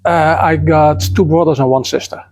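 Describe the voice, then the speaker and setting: A man from the Netherlands, a native Dutch speaker, answering interview questions as head of a nature foundation, calm and measured. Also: a little high. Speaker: guest, nature foundation president. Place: outdoors by the beach.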